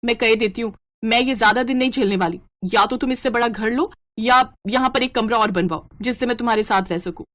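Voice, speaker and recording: A woman voicing a frustrated, sharp-tongued young new bride in a story, complaining and scolding. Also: a little high, a little fast, hardly any pauses, lightly acted. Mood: bitter, complaining.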